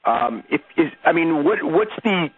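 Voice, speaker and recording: A man asking questions as a sports reporter, halting and rambling, repeating words as he goes. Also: on the high side, lively intonation, breathy edge. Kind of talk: spontaneous press questioning. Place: conference call over phone. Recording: tinny telephone conference line.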